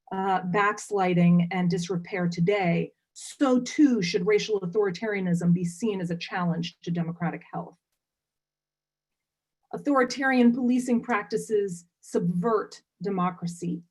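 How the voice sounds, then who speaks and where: A woman's voice: mid-range voice, a little mellow. Earnest woman posing academic questions. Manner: earnest, searching, many "uh" pauses. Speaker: panel commentator, scholar. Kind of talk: academic panel commentary.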